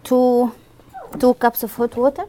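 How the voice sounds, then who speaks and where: A woman's voice: a little high, flat delivery, measured pace, noisy background. A woman from Egypt co-teaching a bread workshop, speaking hesitant, simple English with fillers. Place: community hall kitchen.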